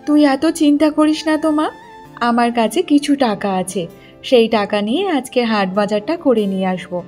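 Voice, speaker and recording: Woman voicing a high-pitched, fussing cartoon mother. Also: fairly quick, darkish tone, soft background music, lightly acted. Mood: reassuring, insistent. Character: poor, hardworking, self-sacrificing middle-aged village mother. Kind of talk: Bengali cartoon story dialogue.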